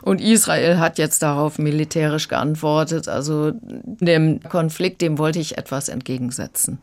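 A woman's voice: sits low, moderate pace, darkish tone. A woman book critic on a radio program explaining a recommendation in an engaged, explanatory way, with occasional hesitations. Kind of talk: radio review conversation.

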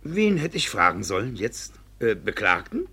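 An actor playing a devious, blustering village judge in a classic stage comedy for radio, stammering and evasive. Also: male voice, lowish voice, huge pitch swings, normal speed, bright, forceful tone, breathy edge, heavily acted. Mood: evasive, flustered.

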